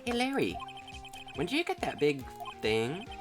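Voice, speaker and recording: A woman's voice: lowish voice, wildly swooping pitch, unhurried, over music. A woman voicing a perky, curious teenage girl sidekick from a video game, girlish and inquisitive.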